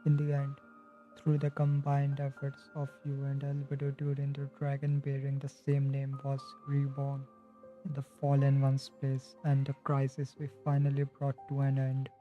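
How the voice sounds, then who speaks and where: A man's voice: mid-range voice, completely flat delivery, normal speed. A man with an Indian accent livestreaming a game, chatting casually and reading story text aloud. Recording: dull lo-fi stream mic, music behind. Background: game music.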